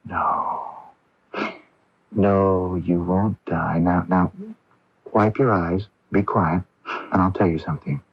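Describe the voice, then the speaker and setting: A man playing a kind, earnest young medical intern in a 1980s radio drama, speaking haltingly and soothingly in a husky voice. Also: low voice, fairly even intonation, no room sound, full character voice. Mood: soothing, firm. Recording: dull lo-fi 1980s AM radio recording.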